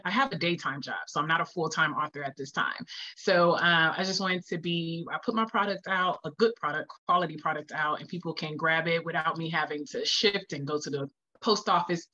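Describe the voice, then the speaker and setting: A woman with a bright, forward voice chats as an author being interviewed. She is candid and acts out her own thoughts as she goes. The audio is somewhat dull and lo-fi. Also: on the low side. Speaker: guest, children's book author. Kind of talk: interview answers, casual chat.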